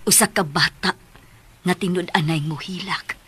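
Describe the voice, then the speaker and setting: A woman playing a grave, reproachful older mother in a radio drama, with a breathy voice and a Filipino accent. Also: lowish voice, fairly even intonation, normal speed, sharp tone, heavily acted. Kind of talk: Cebuano radio drama acting.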